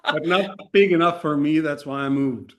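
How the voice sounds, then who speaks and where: A Danish-accented man in his forties chats frankly on a podcast. He pokes fun at himself and stumbles through fillers and restarts, and his voice sits a little high for a man. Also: normal speed, fairly bright tone. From Denmark. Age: 40s. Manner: frank and self-deprecating, many fillers and restarts. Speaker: podcast guest. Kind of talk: casual interview chat.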